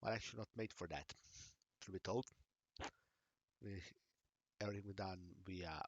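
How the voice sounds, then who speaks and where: Low-voiced Slovenian man, halting delivery. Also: no echo, muffled recording. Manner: hesitant, halting, self-correcting. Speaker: tech streamer. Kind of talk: live screen-share tutorial.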